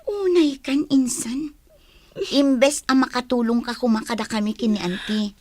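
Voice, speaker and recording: High-pitched, concerned young Filipina cousin. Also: female voice, a little slow, a little mellow, dry recording. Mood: concerned, reproving. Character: young female cousin, concerned, gently reproving confidante. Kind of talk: radio drama acting.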